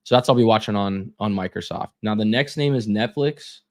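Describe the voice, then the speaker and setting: A man's voice: on the low side, a little fast. A young man losing his voice, running a casual live trading stream with lots of fillers and repeated words.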